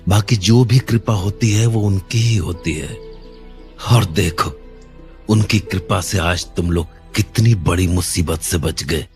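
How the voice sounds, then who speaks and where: A steady old uncle in a cartoon story, calm and wise, patiently explaining what happened, voiced by a man. His voice sits very low and deep, with faint music behind him. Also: slow. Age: older. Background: faint background music.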